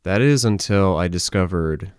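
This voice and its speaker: A man's voice: low voice, normal speed, clean tone, soft background music. A man hosting a horror review, reading a scripted video essay as narration. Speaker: host, horror series reviewer.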